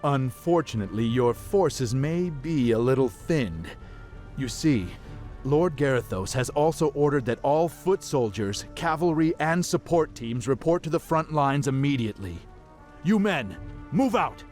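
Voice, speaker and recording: An actor playing a smug, officious human messenger relaying orders in a video game cutscene. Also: male voice, medium pitch, expressive, measured pace, a little mellow, faint music behind, lightly acted.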